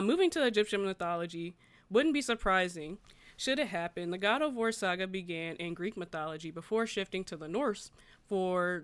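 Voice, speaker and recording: A woman's voice: fairly low pitch, fairly bright tone, clean tone, no echo. A woman hosting a gaming livestream, chatting informally with viewers and reading news aloud in a conversational way. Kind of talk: casual livestream chat, reading articles aloud and reacting.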